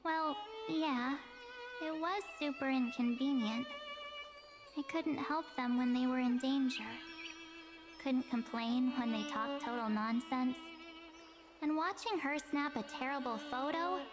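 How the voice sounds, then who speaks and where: A perky young woman adventurer in a story game, high-pitched and proud of herself, with a sentimental streak. Music plays clearly behind the scripted lines. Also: unhurried, big-room sound. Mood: wry, reflective. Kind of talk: scripted video game story narration and dialogue. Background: game music with violin.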